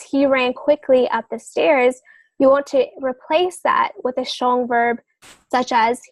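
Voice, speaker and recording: Woman on a podcast giving advice, voice on the high side, pretty dry sound, kinda hesitant and rewording as she explains. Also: no room sound, rough recording. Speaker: podcast guest novelist giving writing advice.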